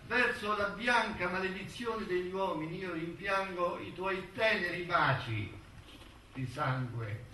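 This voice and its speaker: A man acting an anguished poet, reciting dramatic verse in a slow, declamatory, impassioned way. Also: sits high, sharp tone, exaggerated voice. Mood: tender, mournful. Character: anguished male poet reciting a farewell to a beloved. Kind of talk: theatrical dramatic poetry recitation. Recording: distant echoey stage with faint noise.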